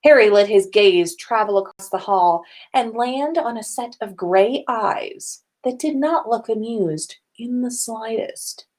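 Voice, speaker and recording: A woman's voice: fairly high pitch, lively intonation, unhurried, few pauses, darkish tone. A woman podcast host reading fan fiction aloud in an informal storytelling style.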